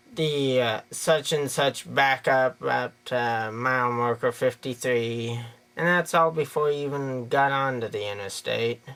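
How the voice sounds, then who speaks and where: A man's voice: medium pitch, a bit bright, smooth. An American man giving an unscripted opinion monologue in a rambling, folksy, plain-spoken way. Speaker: video creator giving an opinion.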